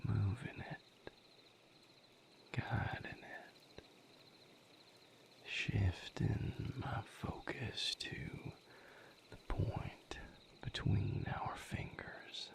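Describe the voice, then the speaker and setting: A man playing a gentle, patient vampire mate in an intimate audio roleplay, coaxing softly in a breathy, gravelly whisper. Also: fairly low pitch, heavily acted. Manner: soothing, coaxing, unhurried guidance.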